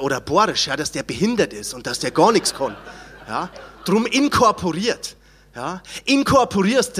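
A man's voice: fairly high pitch, fairly bright tone. A Bavarian man from Chiemgau doing live stand-up comedy, reciting a rhymed poem and riffing in a chatty, mock-indignant way. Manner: chatty, mock-indignant, playful drawn-out sounds. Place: live comedy show on stage.

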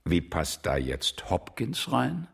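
An actor playing a cool, astute police subordinate in a German radio drama, guarded, deferential and concise. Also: male voice, low-pitched, huge pitch swings, takes it slowly, vocal fry, husky, heavily acted. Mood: guarded curiosity.

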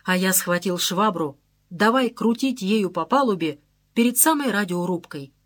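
A woman reading an audiobook aloud in a lively, conversational first-person storytelling manner. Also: medium pitch, normal speed. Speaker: audiobook narrator. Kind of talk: scripted first-person narration.

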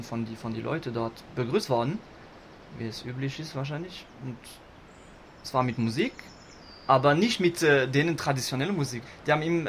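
A man playing a chatty cellist who tells a rambling anecdote, halting and correcting himself in slightly faulty German grammar. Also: mid-range voice, slight background noise, close to an everyday voice. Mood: musing. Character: cellist of a string trio, chatty, rambling storyteller. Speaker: musician being interviewed. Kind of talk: casual anecdote telling.

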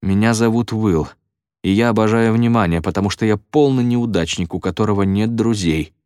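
A man's voice: sits low, sing-song, measured pace, dry recording, studio quality. A man voicing a shy schoolboy who gets picked on, with hesitant delivery, in an audiobook. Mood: mumbled, resigned. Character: shy schoolboy, picked on, newly talking again.

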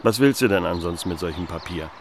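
A man's voice: deep voice, quick pace, very noisy. An actor playing a sensible, stiff village policeman in a radio drama, with a dry, sceptical, pedantic manner. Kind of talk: German audio drama acting.

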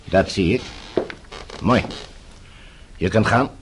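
An actor playing a calmly menacing middle-aged official in a radio play, measured, polite and condescending. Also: male voice, fairly low pitch, noisy background. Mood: cool, polite. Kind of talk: Dutch radio play acting.

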